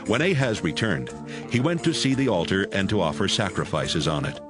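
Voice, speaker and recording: A man with a fairly low voice narrates scripture in a dramatized audio Bible. His intonation is lively, and music plays underneath. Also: moderate pace.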